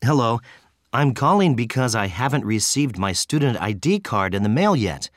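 A man voicing students and a professor in scripted dialogues for a listening exam, with a clear, friendly delivery. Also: mid-range voice, expressive, normal speed, no echo, studio quality.

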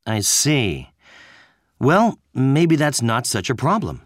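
Man acting casual exam dialogues, lively pitch. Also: slow pace, no room sound, studio quality. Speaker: student in scripted dialogue. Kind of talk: scripted dialogue reading.

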